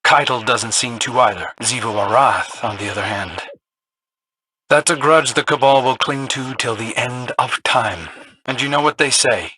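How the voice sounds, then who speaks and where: A man's voice: mid-range voice, moderate pace, pushed and bright, slightly rough, rough recording. An actor playing a wry, easygoing young male rogue scout in a video game, casual and dryly teasing. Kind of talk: dramatic video game dialogue.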